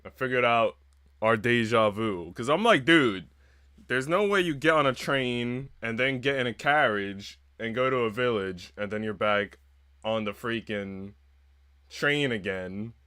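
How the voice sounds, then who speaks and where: A man's voice: mid-range voice, lively intonation, a little slow, pushed and bright, smooth, no room sound, studio quality. A man livestreaming a game, chatting casually and informally with plenty of slang. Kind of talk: casual livestream chat, reading game narration aloud.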